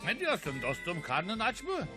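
Muddled old cartoon general, chummy, high voice that swoops like crazy. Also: male voice, wildly swooping pitch, normal speed, music in the background. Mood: friendly. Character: excitable, muddled old general, male, cheerful. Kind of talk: Turkish-dubbed cartoon voice acting.

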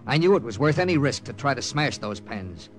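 An actor playing a resolute, hard-boiled wartime captain in an old-time radio drama, speaking and narrating in a firm, clipped, dramatic way. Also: male voice, medium pitch, sing-song, brisk, soft background music, natural voice. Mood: grave, dramatic.

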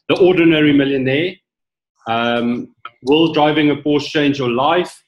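A man's voice: medium pitch, reverberant, low-quality recording. A man, hosting an interview over a video call, praising the guest and asking questions with many fillers. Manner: warm praise, halting with fillers. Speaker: host.

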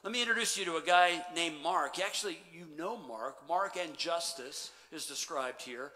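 A middle-aged man preaching a sermon as pastor, animated, then dropping to quiet emphasis. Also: a little high, moderate pace. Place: church sanctuary stage. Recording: long church reverb.

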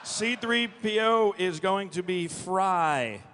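A man's voice: high voice, expressive, takes it slowly. A man working as a panel moderator, announcing live from a stage. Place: large convention hall stage. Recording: echoey convention hall, faint noise.

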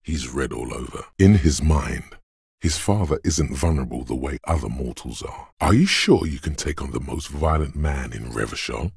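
An actor voicing the brooding inner voice of a detective's body in a video game, gravelly and husky, speaking gravely and deliberately with theatrical weight. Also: male voice, a bass voice, expressive, dry recording, clean studio sound, exaggerated voice. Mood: stern, weighty. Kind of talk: scripted game narration in second person.

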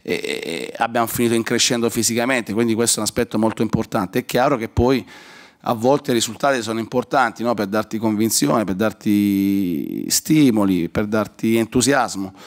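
Football coach in his forties, lowish voice, measured and halting, desk mic in a press room. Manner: measured, hesitant, many pauses and fillers. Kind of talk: post-match press conference answers. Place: press room in front of a sponsor board. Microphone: desk microphone.

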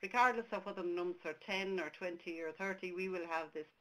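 Irish woman on a webcast, lowish voice, chatty with lots of um and restarts. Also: fast talker, pushed and bright, low-quality recording. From Ireland. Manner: conversational, many restarts and fillers. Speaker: tour operator presenter. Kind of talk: webcast presentation.

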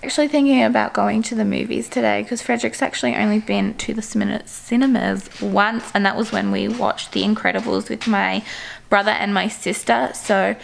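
Aussie mum vlogging at home, croaky sick voice, a bit of fry, faint hiss behind. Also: female voice, medium pitch, steady tone, moderate pace. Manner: chatty, with bursts of sing-song baby talk. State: hoarse, losing her voice from a cold. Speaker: family vlogger. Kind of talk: casual vlog chat to camera. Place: home living room, then a car. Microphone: handheld camera held at arm's length.

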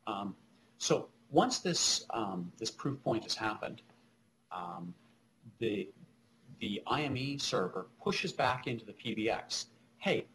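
A middle-aged man presenting technical material over slides in a halting, explanatory way, speaking from a small room on a webcam call. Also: on the low side, animated, fairly bright tone, a little hiss or hum, poor audio.

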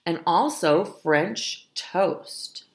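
A woman voicing a calm, cheerful old male fox cook from a storybook, unruffled and deliberate. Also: fairly low pitch.

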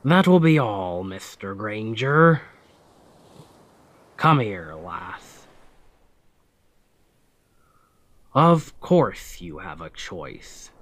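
A man playing a stern, commanding pirate captain with gruff protectiveness in an ASMR roleplay, speaking deliberately and with authority. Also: no room sound. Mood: stern, pragmatic. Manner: slow, deliberate, authoritative, phrases trailing low.

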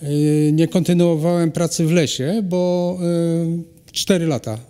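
A middle-aged man, a ceramic artist, answering interview questions in a halting way with many pauses and restarts. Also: a little high, steady tone, mellow, smooth. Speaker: ceramic artist and cultural animator, guest. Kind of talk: hesitant conversational interview answers. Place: hall at a cultural centre. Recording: long reverb of a hall.

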